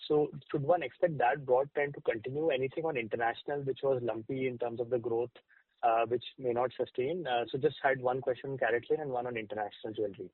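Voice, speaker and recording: A man's voice: medium pitch, fairly even intonation, fast talker, no room sound. A man putting questions as an analyst on an earnings call. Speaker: financial analyst asking questions. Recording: band-limited phone line.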